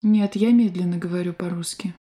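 Female course voice, soft dark tone, careful even delivery for drill prompts and answers. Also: fairly low pitch. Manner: clear, deliberate, evenly paced. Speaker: language course speaker. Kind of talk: scripted language drill reading.

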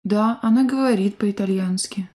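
A woman reading a scripted language-course drill slowly and clearly in a studio. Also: medium pitch, dark tone. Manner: slow, clear, carefully articulated.

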